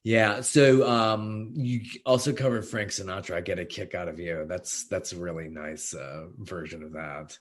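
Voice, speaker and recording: A man's voice: low voice, steady tone, moderate pace, hardly any pauses. A man hosting an arts podcast, asking easygoing questions and giving brief affirming replies. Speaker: podcast host. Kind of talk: casual interview chat.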